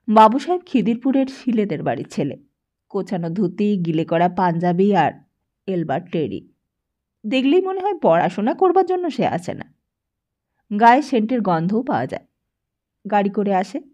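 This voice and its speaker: A woman reading a literary story aloud as an expressive audiobook narrator. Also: medium pitch, moderate pace, pauses often. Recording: dull lo-fi sound.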